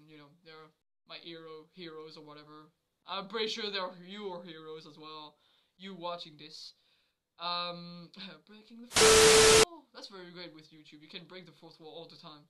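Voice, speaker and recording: A woman's voice: fairly low pitch, bright, forceful tone, clear, resonant tone, rough recording. A young woman with a cold, chatting casually to a webcam in her small bedroom, rambling and laughing often. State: has a cold. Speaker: vlogger. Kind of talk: casual chat to camera. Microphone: webcam at desk.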